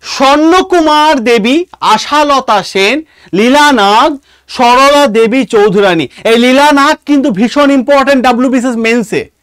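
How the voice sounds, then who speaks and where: A man with an Indian Bengali accent teaching an exam coaching class in a lively, emphatic way, repeating key phrases and questions and often checking that students follow. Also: extremely high pitch, unhurried, smooth, no echo. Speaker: history teacher for exam preparation.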